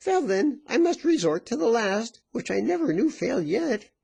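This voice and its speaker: A brash, bullying virago from a storybook, acted out by a man. The voice is pushed very high and swings widely. The delivery is taunting and exclamatory, like someone used to getting their way. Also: sing-song, normal speed, vocal fry, dark tone, husky, exaggerated voice. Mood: menacing, coaxing. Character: loud, bullying virago, brazen rough woman. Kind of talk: dramatized storybook reading.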